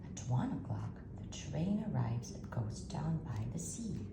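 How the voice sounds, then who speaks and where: A woman reading a children's picture book aloud in an expressive, hushed and drawn-out spooky storytelling manner. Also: rumbling low, vocal fry. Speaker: storytime reader. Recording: roomy echo, loud background noise.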